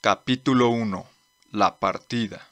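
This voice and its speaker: Bright-voiced man narrating a manga. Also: fairly low pitch, unhurried, no room sound.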